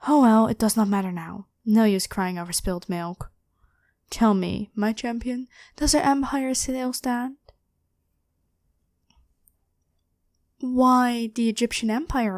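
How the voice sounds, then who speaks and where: A woman's voice: a little high, unhurried, darkish tone, dry recording. Woman voicing an imperious, evil ancient pharaoh queen, close and dry. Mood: imperious, questioning. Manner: haughty, demanding, theatrical. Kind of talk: scripted ASMR roleplay monologue.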